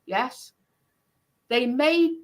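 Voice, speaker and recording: A woman's voice: high voice, expressive, extremely slow. A British woman chatting casually about politics, making her points emphatically. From United Kingdom.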